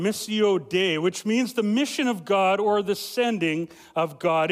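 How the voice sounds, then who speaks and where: Young adult male family pastor, loud, lively sermon with many filler-laden restarts, high voice, long church-hall reverb. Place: church sanctuary.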